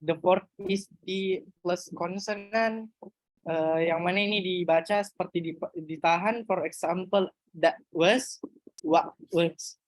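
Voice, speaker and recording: Slow, hesitant woman reading notes. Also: lowish voice, steady tone, no room sound, poor audio. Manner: hesitant, halting reading with many fillers. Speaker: student moderator. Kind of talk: reading a lesson summary.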